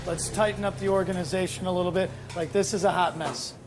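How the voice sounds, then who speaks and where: High-voiced, bossy man giving kitchen orders. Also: fairly even intonation, fast talker. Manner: bossy, blunt, repetitive. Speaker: team leader in a kitchen contest. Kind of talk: unscripted kitchen instructions. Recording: music behind the voice.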